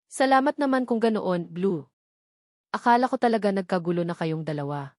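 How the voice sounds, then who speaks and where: A woman's voice: mid-range voice, animated, normal speed, darkish tone, no echo, studio quality, close to an everyday voice. A woman with a Filipino accent voicing an anxious, guilt-ridden young woman in a secret affair, in a romance audiobook. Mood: relieved.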